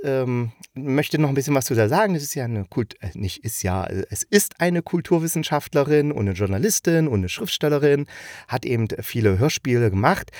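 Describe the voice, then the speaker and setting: Male podcast host, mid-pitched, dry close sound. Colloquial, keen and rambling solo reviewer, unscripted. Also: darkish tone, no echo. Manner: rambling, enthusiastic, colloquial, jumping between asides. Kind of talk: casual unscripted monologue review.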